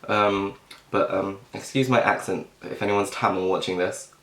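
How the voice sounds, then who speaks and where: A young British man chatting casually and self-deprecatingly to camera, clearing his throat now and then. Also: fairly low pitch, steady tone, moderate pace, a bit bright, roomy sound. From Britain. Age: young adult. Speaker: vlogger. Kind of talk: casual mukbang chat.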